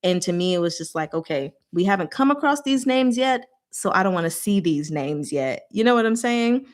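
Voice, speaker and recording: A woman hosting a book discussion livestream, chatting casually with viewers in an animated, informal, playfully exasperated way. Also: medium pitch, moderate pace. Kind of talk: casual live chat with viewers.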